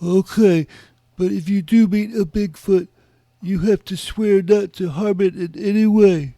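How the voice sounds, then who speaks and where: A man's voice: high voice, measured pace, mellow, no echo. A man playing a wary, eccentric loner in an audio drama, who speaks hesitantly and grudgingly and distrusts children. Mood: reluctant. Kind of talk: scripted audio drama dialogue.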